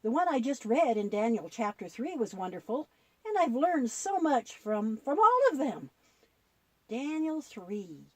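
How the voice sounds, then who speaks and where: A woman presenting a children's Bible show, telling stories in an expressive, instructive way as dialogue with a puppet. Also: fairly high pitch, moderate pace, no echo.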